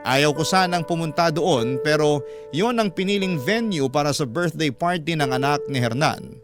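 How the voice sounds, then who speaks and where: A man's voice: on the high side, normal speed. A man narrating a scripted story as a radio host, in the style of classic radio programs. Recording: clear music bed behind the voice.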